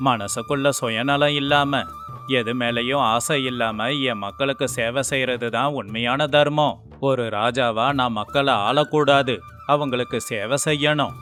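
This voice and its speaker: A man playing a humble, wise king in an animated folk tale, speaking formally. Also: mid-range voice, natural voice. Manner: solemn, moralising, formal. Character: humble, righteous male king, earnest and wise. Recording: music behind the voice.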